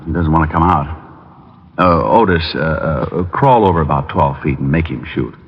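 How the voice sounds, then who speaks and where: An actor playing a private detective who trades dry wisecracks, in a 1950s radio drama. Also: male voice, a bass voice, normal speed, husky, heavily acted. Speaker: lead detective and narrator. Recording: dull old radio recording with music.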